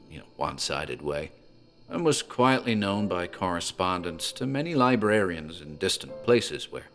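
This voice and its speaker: A man playing a talkative rural tavern landlord, telling a dark local horror tale in a measured, dramatic way with pauses. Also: low-pitched, heavily acted. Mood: ominous storytelling.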